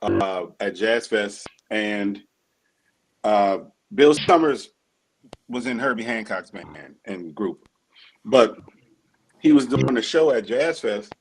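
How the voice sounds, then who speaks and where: A man who is a music producer and bassist, telling stories in a laid-back interview. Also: animated, slow, long pauses, slightly rough, rough recording. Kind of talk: relaxed interview talk.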